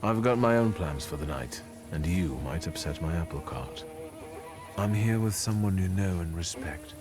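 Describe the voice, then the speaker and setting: A low, self-assured male thaumaturge from a story video game, proud and scheming. His measured lines rise and fall freely, ringing in a long reverb with music behind. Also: animated. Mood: guarded, firm.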